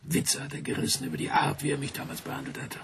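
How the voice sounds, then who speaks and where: An actor playing an embarrassed, broke major who speaks in stiff, clipped, apologetic sentences while begging a favour. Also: male voice, a little high, completely flat delivery, quick pace, vocal fry, slight background noise.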